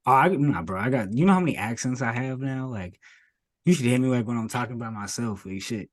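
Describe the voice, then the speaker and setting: A young man from south of Boston with a Boston accent, co-hosting a casual podcast, animated and laughing often as he slips into put-on voices. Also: mid-range voice, a little fast. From Massachusetts, south of Boston. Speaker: podcast co-host.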